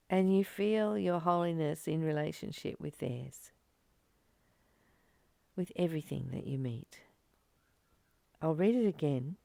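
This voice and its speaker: A woman giving a spiritual reading and reflection as a teacher, in a deliberate, measured way with emphatic pauses. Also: mid-range voice, vocal fry, mellow, no echo. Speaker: study group teacher reading and commenting.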